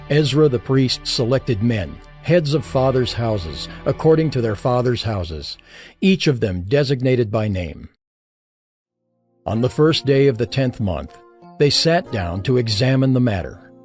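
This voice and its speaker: A man giving scripted narration for a dramatized Bible, measured and solemn. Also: mid-range voice, a little mellow.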